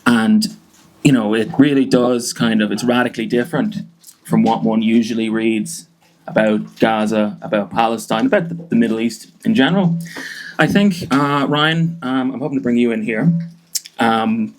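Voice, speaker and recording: A man's voice: mid-range voice, fairly even intonation, moderate pace, low-quality recording. A male host on a live panel, leading into his next question with hesitant fillers. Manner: hesitant, fills with um and you know.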